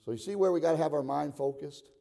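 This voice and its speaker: A man's voice: a little high, quick pace, gravelly. Older male preacher in a reverberant hall. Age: 60s. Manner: emphatic, swings from conversational to shouted exhortation. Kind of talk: sermon. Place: large reverberant room, preaching to a congregation.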